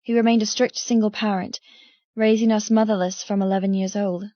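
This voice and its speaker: A woman's voice: medium pitch, steady tone, brisk, warm tone, no echo, dull audio. Woman narrating an audiobook, close and dry. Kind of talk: first-person dramatic scripted narration.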